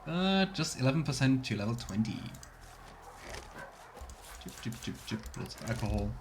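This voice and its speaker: A man's voice: medium pitch, very animated, normal speed, slight background noise. A man giving casual let's play commentary, thinking aloud and murmuring to himself as he plays. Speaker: streamer playing a fantasy role-playing game. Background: game music.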